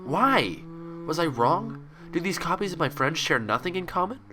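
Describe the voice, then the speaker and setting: A man reads the part of a young female pony in a storybook, brooding and uneasy. She questions herself anxiously in a bright, tense tone. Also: on the high side, animated, normal speed, voice pushed up. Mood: anguished doubt.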